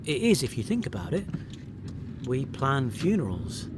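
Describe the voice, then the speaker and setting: An actor playing a disillusioned, wry jobbing actor stuck in office work, deadpan and self-deprecating, in an audio drama. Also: male voice, mid-range voice, normal speed, noisy background. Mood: bitter, frustrated. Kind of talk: audio drama dialogue and monologue.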